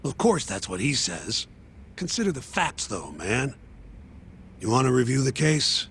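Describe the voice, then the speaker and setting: An actor playing a gruff, cynical male police detective who talks in a sarcastic, crude, dismissive way, in a game scene with music behind. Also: mid-range voice, very animated, measured pace, a bit bright, a little hiss or hum. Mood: sardonic. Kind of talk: game dub acting.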